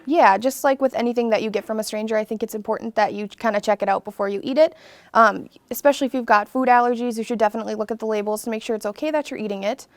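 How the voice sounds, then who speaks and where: Fast-talking woman police officer. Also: fairly high pitch, no echo, studio quality. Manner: casual, many fillers. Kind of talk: interview answers.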